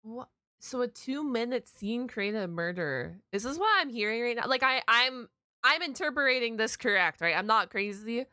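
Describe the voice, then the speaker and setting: Female reaction host: higher-pitched, very animated, casual and expressive, thinking out loud. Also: normal speed, dry recording, studio quality. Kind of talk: casual live reaction commentary.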